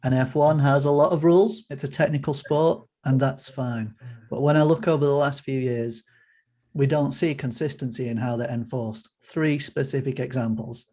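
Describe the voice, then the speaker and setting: A man joining a podcast as a fan guest over a video call, setting out his views in a measured, orderly way. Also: mid-range voice, steady tone, mellow. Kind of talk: casual opinion talk on a video call.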